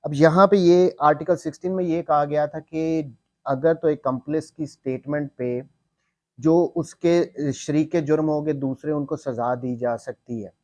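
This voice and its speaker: A man's voice: a little high, steady tone, unhurried, darkish tone, dry recording. A man with a Pakistani accent giving an explanatory law lecture, didactic and repeating key points, mixing in English legal terms.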